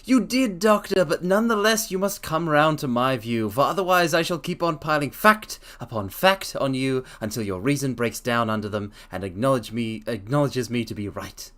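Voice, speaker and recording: Man reading as a sharp, lecturing Victorian detective. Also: mid-range voice, lively intonation, moderate pace, few pauses, natural voice. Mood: assured. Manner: precise, lecturing, emphatic.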